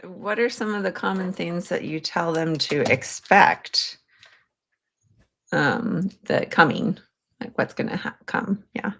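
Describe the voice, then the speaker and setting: Slow, low-voiced woman hosting an interview. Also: vocal fry, a bit bright. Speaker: podcast host. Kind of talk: conversational interview.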